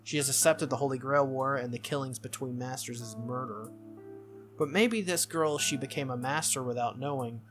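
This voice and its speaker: A man's voice: mid-range voice, normal speed, over music. A man narrating a visual novel aloud as a gaming video host, in a read-through style.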